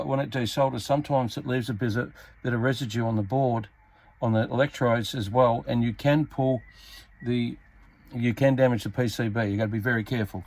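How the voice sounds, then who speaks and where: An Australian man giving a casual hands-on repair tutorial, talking in a halting, unscripted, step-by-step way as he works. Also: mid-range voice, a little mellow. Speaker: hobbyist demonstrating a radio repair. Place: indoors at a repair workbench. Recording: clearly noisy amateur workbench recording.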